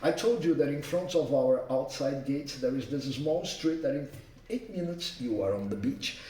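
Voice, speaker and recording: A man's voice: mid-range voice, darkish tone. A man from Rio de Janeiro with a Brazilian accent, a native Portuguese speaker, informally briefing guests in an emphatic, didactic way with short repeated statements. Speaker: accommodation host giving a city orientation. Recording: roomy, distant mic, faint background noise.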